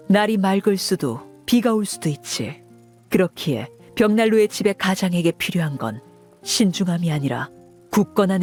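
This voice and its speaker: An actress playing a stern woman leader, speaking in a measured, formal, authoritative way in a dramatic game scene. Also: fairly low pitch, a little mellow, lightly acted. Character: stern, commanding woman leader of an orphanage, called Father. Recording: music under the voice.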